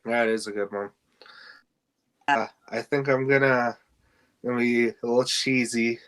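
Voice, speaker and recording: A man's voice: mid-range voice, fairly even intonation, a little slow, fairly bright tone, clean tone, rough recording. A man co-hosting a podcast, chatting casually and steering the discussion along. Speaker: podcast co-host.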